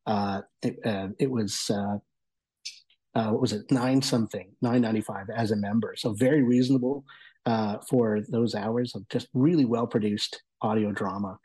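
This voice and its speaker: A man's voice: lowish voice, steady tone, moderate pace, mellow, slightly rough, poor audio. A man giving an enthusiastic, rambling conversational review as a guest on a talk show. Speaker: guest reviewer of audio entertainment.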